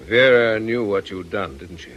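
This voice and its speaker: A man's voice: lowish voice, moderate pace, a bit bright, dull audio, a little hiss or hum, natural voice. A man playing a concerned, probing middle-aged old friend in a radio drama, earnest and questioning. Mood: probing, alarmed.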